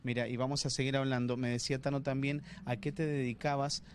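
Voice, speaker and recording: A man's voice: medium pitch, level tone, quick pace. Argentine guy reporter on location, chatty questions, lots of "eh", faint background noise. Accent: Argentine Spanish. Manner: conversational questioning, many fillers. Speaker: field reporter. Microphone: handheld mic.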